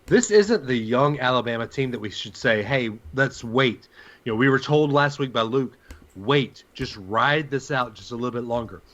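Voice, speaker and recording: A man's voice: mid-range voice, lively intonation, normal speed, fairly bright tone, muffled recording. A man co-hosting a sports talk show, giving his opinions and putting questions in a conversational way.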